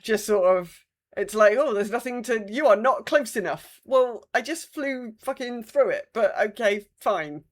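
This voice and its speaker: A woman's voice: fairly high pitch, expressive, a little slow. Blunt woman co-hosting a casual podcast. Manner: blunt, exasperated griping with swearing. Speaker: podcast co-host. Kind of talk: casual chat about a video game.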